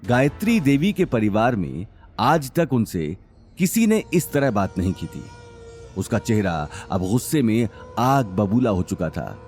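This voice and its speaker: Low-voiced man narrating with lively intonation. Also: a little slow, soft background music. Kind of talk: scripted dramatic story narration.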